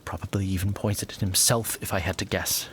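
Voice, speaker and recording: A man playing a gentle, grave, sorrowful captain in a fantasy audio drama, hushed and hesitant. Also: low voice, fairly even intonation, a little fast, slight background noise. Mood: hushed confiding. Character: sad, gentle, grave male captain called Professor. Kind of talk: audio fiction acting.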